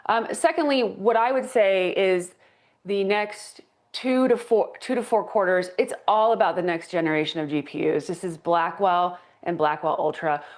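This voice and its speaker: A woman analyst answering as a guest in a financial TV interview, emphatic and returning to her key points. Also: lowish voice. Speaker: tech stock analyst guest.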